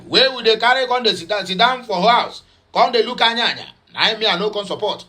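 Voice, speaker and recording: A man's voice: sits high, pushed and bright. A man speaking in Nigerian Pidgin with some Igbo, giving chatty, mocking news commentary full of fillers and repeats. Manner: rambling with many fillers and repeats.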